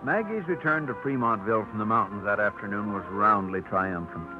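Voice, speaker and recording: A man's voice: fairly low pitch, brisk. A man giving scripted, storytelling narration for a radio drama, on a tinny 1950s broadcast with music underneath.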